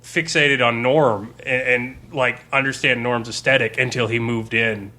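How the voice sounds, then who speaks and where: A man talking casually as a game master on a podcast panel, in an informal, halting way. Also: medium pitch, fairly even intonation, pushed and bright. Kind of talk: casual chat about running games.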